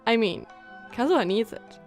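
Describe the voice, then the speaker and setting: A woman game streamer giving casual live reactions, thinking aloud in an expressive, halting way. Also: a little high, faint music behind.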